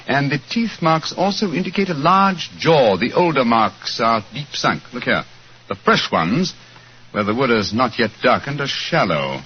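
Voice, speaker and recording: A keen, self-assured detective, laying out his reasoning crisply and precisely. His delivery rises and falls with lively expression. It is a 1940s radio drama recording, muffled and lo-fi with a faint hiss underneath. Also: mid-range voice, a little slow. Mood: deducing. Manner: crisp, precise, lecturing deductions.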